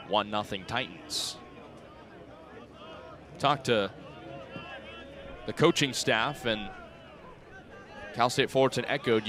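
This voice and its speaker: A man in his twenties calling baseball play-by-play for radio in crisp, clipped phrases that lift on the action. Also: lowish voice, fairly bright tone. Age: 20s. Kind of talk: live radio sports commentary. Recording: outdoor ballpark crowd behind voice. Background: ballpark crowd.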